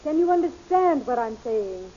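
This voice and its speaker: An actress playing an earnest, urgent young heroine in a 1930s radio adventure serial. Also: female voice, sits high, very animated, moderate pace, mellow, slight background noise. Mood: urgent, pleading. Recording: muffled old-time radio recording.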